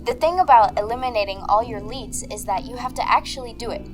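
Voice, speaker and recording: A woman's voice: a little high, fairly quick, faint music behind, natural voice. A woman playing an analytical, unflappable paranormal investigator in an audio drama, speaking in a methodical, precise way. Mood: thoughtful, determined. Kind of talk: audio drama dialogue, measured theorizing.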